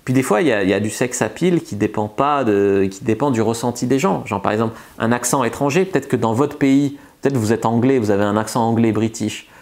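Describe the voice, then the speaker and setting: A man's voice: medium pitch, fairly quick, few pauses, a little mellow. Casual, chatty male seduction coach. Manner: loose and chatty, frequent filler words and restarts. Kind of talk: casual advice monologue to camera.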